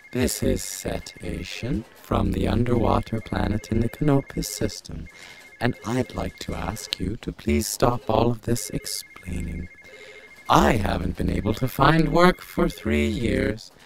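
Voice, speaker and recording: Creaky pleading fish-planet alien caller, voice swings up and down, sci-fi comedy, music under it. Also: male voice, lowish voice, sing-song, measured pace, exaggerated voice, low-quality recording. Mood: plaintive, pleading. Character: plaintive out-of-work male alien from an underwater planet. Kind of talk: comic sci-fi audio theatre.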